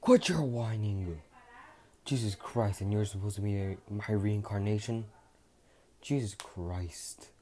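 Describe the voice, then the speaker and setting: A man voicing a proud, arrogant alien warrior king who barks gruff orders. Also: lowish voice, slow pace. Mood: scolding. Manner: gruff, scolding, shouting.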